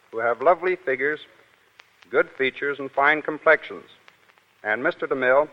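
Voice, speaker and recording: A man giving a prepared, scripted talk as a guest on a 1930s radio show. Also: medium pitch. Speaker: guest speaker, casting director. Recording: muffled, reverberant 1930s radio recording.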